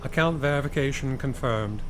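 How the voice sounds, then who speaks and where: An actor playing a polite, formal limousine chauffeur in an audio drama. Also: male voice, medium pitch, moderate pace, noisy background, close to an everyday voice.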